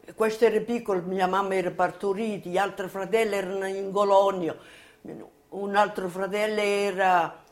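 Older woman, medium pitch, halting, repetitive, homely unschooled speech, personal recollection. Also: little pitch movement. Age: elderly. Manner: plain, repetitive, halting, ungrammatical folk speech. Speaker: former colonist giving testimony.

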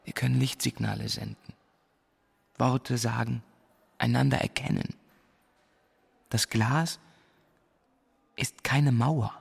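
Soothing male confidant: very gravelly, philosophical, measured phrasing, long hall reverb. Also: medium pitch, fairly even intonation, full character voice. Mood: gently solemn. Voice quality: gravelly, husky.

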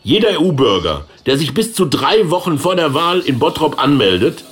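An actor playing a brash, scheming small-town politician in a satirical radio play, blunt and cocky. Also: male voice, fairly high pitch, big pitch swings, moderate pace.

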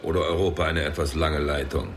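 A man's voice: extremely low pitch, steady tone, fairly quick. A man playing a wry, cigar-smoking private detective who narrates a radio crime drama with sardonic quips. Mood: dry. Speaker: first-person narrator and detective. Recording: studio radio play with music bed.

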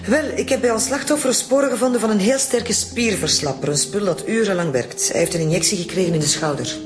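An actress with a Flemish accent playing a breezy, informal forensic doctor in a naturalistic radio drama. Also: female voice, mid-range voice, a little fast, lightly acted. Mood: informative. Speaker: actor. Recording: music behind the voice.

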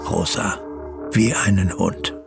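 Male radio-play role: wounded revolutionary exile, declamatory, unhurried delivery over loud music. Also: sits low, steady tone, vocal fry, full character voice. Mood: bitter. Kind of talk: German radio play acting.

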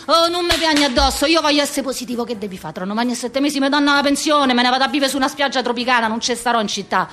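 A woman's voice: sits high, rapid-fire, hardly any pauses, fairly bright tone, a little hiss or hum. A woman performing a theatrical slang monologue as a harried, foul-mouthed office worker around fifty, with a Roman accent and a coarse, rhythmic rant. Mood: frantic.

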